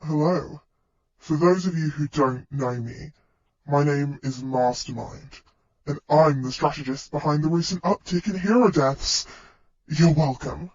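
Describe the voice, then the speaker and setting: Guy doing young villain mastermind, higher voice, slow n smug threats, muffled lo-fi audio. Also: darkish tone, husky. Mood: mock cheerful. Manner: taunting, slow, deliberate. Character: young male villain mastermind, cheerfully menacing, theatrical. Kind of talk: podfic villain speech. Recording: dull lo-fi sound.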